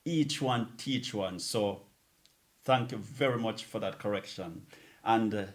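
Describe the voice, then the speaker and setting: A man with a lowish voice presents a music show. He talks casually, like a DJ chatting to listeners between tracks. His wording is informal and chatty, sprinkled with slang. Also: a little slow.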